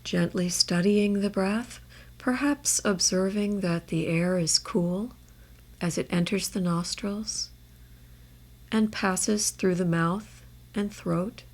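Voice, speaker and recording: A woman guiding a meditation in a measured, gentle way, leaving space between her phrases. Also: medium pitch. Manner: measured, gentle instruction with long pauses. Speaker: meditation guide. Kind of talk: guided body scan meditation.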